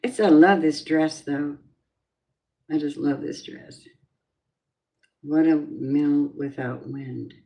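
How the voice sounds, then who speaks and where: A middle-aged woman with a low voice, chatting in a rambling, casual way as she gives a tarot reading on a live stream. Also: fairly even intonation, slow, gravelly, pauses often, warm tone. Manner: chatty, rambling, drifting off topic. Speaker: tarot reader.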